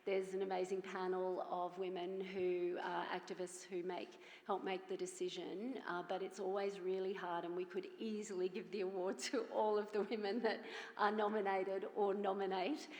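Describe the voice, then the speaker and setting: A woman's voice: mid-range voice, little pitch movement, normal speed, a little mellow. A woman presenting an award at a conference in an informal, earnest, slightly hesitant way, recorded in a hall. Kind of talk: informal spoken introduction. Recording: echoey hall with long reverb.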